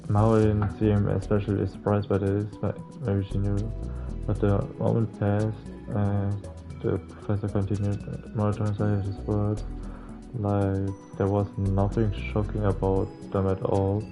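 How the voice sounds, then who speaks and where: A man casually reading a visual novel's text aloud as a playthrough host, halting at times and adding offhand asides. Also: lowish voice, completely flat delivery. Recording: game music behind the voice. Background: game music.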